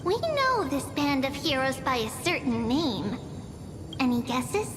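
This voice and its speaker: An actress voicing an earnest, excitable child storyteller in a video game, lecturing in an exclaiming, sing-song manner. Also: female voice, high voice, unhurried, background noise. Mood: eager, proud. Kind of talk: scripted video game voice acting.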